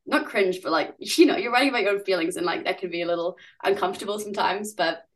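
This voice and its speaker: A woman's voice: mid-range voice, fast. A young woman from New Zealand, a musician guest chatting casually in an interview, full of "like" fillers and giggles.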